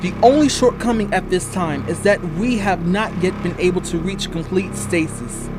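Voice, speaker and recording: A man's voice: high voice, normal speed, music in the background, close to an everyday voice. A man playing an ambitious, volatile scientist in an old-time radio drama, speaking in a formal, theatrical, grandiose way. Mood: proud, showy.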